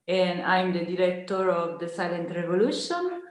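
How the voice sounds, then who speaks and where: A woman from Italy with an Italian accent, a film director speaking on a panel, pausing often to search for words in English. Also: fairly low pitch, reverberant. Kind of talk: panel discussion.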